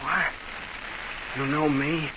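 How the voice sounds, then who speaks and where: A man's voice: a little high, level tone, slow. Jumpy radio-mystery tough guy, noisy recording. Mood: suspicious. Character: haunted, defensive nightclub manager, a nervy tough guy. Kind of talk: old-time radio mystery acting. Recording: noisy, hissy old radio broadcast.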